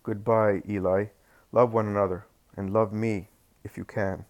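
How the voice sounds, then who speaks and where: A man voicing a calm, gentle male teacher in a scripture story. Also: lowish voice, unhurried, lo-fi sound.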